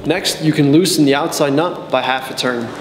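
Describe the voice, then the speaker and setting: Young man, slightly high voice, instructional narration, measured step-by-step delivery, reverberant sound, background music. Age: 20s. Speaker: technical support and customer trainer.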